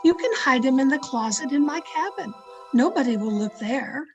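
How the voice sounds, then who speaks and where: A woman's voice: sits high, expressive, normal speed, muffled recording. A woman playing a thrill-seeking young woman who narrates her murder tale with dramatic, breathless intensity in old radio suspense style. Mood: hushed, conspiratorial. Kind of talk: 1940s radio suspense acting, scripted first-person narration. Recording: video-call radio play with music underneath. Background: dramatic music.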